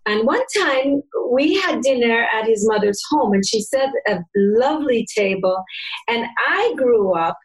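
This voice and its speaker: An older woman from North America hosting an interview, telling a personal story in an animated, emphatic way. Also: fairly high pitch, a little slow, husky, low-quality recording. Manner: animated, emphatic, voice rising when excited. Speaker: interview host.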